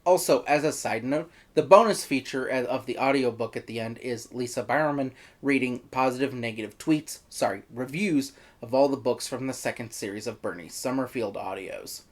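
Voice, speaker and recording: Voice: mid-range voice, moderate pace, hardly any pauses. A reviewer narrating a scripted video review in an opinionated way, with wry, exasperated asides. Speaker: reviewer, host.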